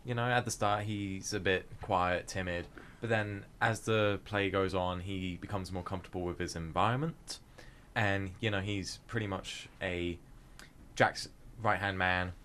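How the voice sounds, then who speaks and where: A man's voice: low-pitched, fairly even intonation, measured pace, a bit bright, a little hiss or hum. A young man, a drama student answering casually in an interview. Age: young adult.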